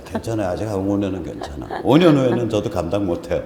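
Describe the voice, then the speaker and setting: A man's voice: on the low side, lively intonation, fast talker, creaky, mellow. A man in his fifties hosting a casual talk show, joking and self-deprecating, with a congested, strained voice. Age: 50s. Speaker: host. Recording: roomy hall echo, faint noise.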